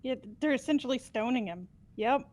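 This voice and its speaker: A woman's voice: high voice, animated, normal speed, darkish tone. A woman giving blunt, casual commentary while playing through a game with friends.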